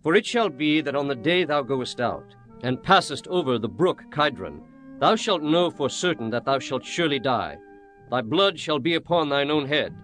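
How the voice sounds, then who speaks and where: A man's voice: on the high side, lively intonation, moderate pace, fairly bright tone, faint music behind. An actor playing a stern, commanding young king in a dramatized scripture reading, with solemn, declamatory delivery and archaic formal phrasing. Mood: stern, accusing.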